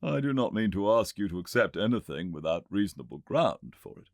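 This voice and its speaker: A man voicing an earnest, learned Victorian gentleman inventor in an audiobook, with a British accent and an emphatic, formal, didactic delivery. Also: lowish voice, very animated, no echo, studio quality, voice pushed up. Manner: emphatic, didactic, formal, deliberately pointed phrasing. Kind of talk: audiobook character reading.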